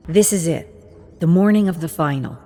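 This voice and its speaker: A woman's voice: lowish voice, expressive, moderate pace, warm tone. A woman voicing a driven, bitter young competitive gamer in a tense inward monologue from video game lore. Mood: determined, weary.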